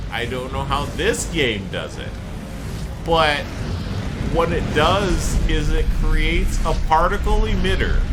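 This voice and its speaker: A man giving rambling, explanatory solo gameplay commentary with dry asides. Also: mid-range voice. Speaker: gaming streamer. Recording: loud game music behind voice. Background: loud game music and sound effects.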